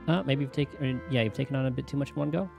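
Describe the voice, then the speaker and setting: Fast-talking man, casual game streamer. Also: medium pitch, vocal fry. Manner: rambling, thinking aloud. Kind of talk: casual playthrough commentary. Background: faint game music.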